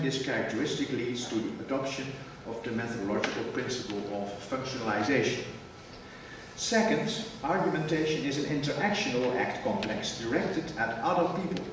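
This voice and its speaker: Man, formal conference lecture, measured prepared reading, distant mic, big reverberant hall, audible background noise. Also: medium pitch. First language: Dutch. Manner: measured, reads a prepared text, precise wording. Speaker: academic lecturer. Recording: echoey hall from far off with noise.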